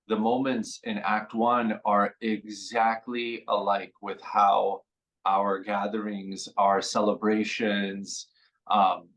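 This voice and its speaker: A man in his forties with a low-pitched voice speaks as a radio interview guest. He talks in a reflective, meandering way, pausing often with fillers as he gathers his thoughts. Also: a bit bright, poor audio. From San Francisco Bay Area. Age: 40s.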